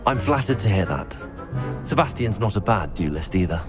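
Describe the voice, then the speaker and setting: Lively-pitched young student wizard. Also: moderate pace, over music. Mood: polite, composed. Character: polite, self-assured teenage student wizard. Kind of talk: scripted video game acting.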